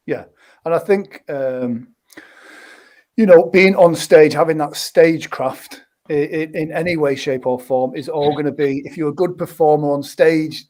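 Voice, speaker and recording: A man's voice: a little high, darkish tone. A man hosting an interview, asking loose, rambling questions full of restarts and fillers. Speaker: interview host.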